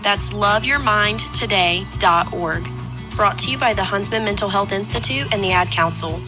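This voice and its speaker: A woman with a mid-range voice narrates a scripted public service announcement. She is plain-spoken and reassuring, with music clearly audible behind her. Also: medium pitch, moderate pace.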